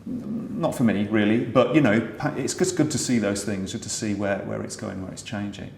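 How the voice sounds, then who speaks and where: A British man with a slightly low voice talks through his ideas in a relaxed interview, pausing and restarting as he thinks. The recording has a noticeable roomy echo. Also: vocal fry, a little hiss or hum. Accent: British English. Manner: thoughtful, many small restarts. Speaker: pantomime writer and director. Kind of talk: casual conversational interview.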